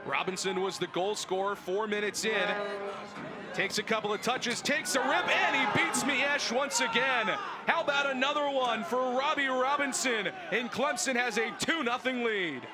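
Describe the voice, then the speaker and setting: A man's voice: extremely high pitch, moderate pace, crowd noise, noisy background. A man in his early twenties announcing live sports with a polished, emphatic broadcast delivery. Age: early 20s. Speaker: sports play-by-play announcer and host. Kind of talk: live sports play-by-play and show openings.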